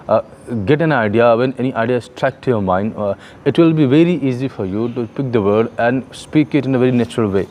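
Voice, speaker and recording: A man's voice: medium pitch, a little mellow, slight background noise. A man giving an earnest spoken lesson to camera, with frequent fillers and restarts. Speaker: English teacher.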